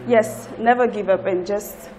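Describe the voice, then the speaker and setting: A woman's voice: medium pitch, steady tone, a little slow, a crowd in the background, low-quality recording. A woman in her twenties with an Ivorian accent, giving an interview testimonial as a language student. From Ivory Coast. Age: 20s. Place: indoors at a language school. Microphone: lapel.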